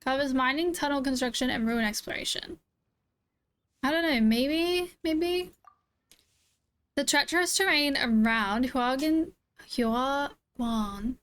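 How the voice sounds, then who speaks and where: A woman's voice: high voice, slow, fairly bright tone. A woman streamer reacting casually to a game and reading its text aloud.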